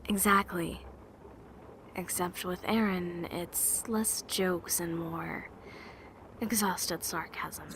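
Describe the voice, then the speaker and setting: A woman playing a stoic, sincere, protective young woman in a fan audio drama, speaking softly and with reserve. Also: fairly low pitch, steady tone. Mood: warm, dry. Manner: soft, measured, reserved. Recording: clearly noisy amateur voice track.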